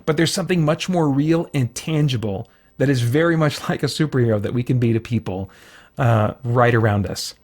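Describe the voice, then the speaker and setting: A man hosting an informal Bible study for an online audience, talking in a casual, halting way as he thinks aloud with frequent asides. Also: mid-range voice, darkish tone. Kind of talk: informal sermon, conversational Bible teaching.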